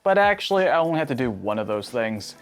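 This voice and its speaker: A man's voice: medium pitch, sing-song, fast talker, soft background music. A man, a native Cantonese speaker, narrating a scripted video essay in a wry, self-deprecating way.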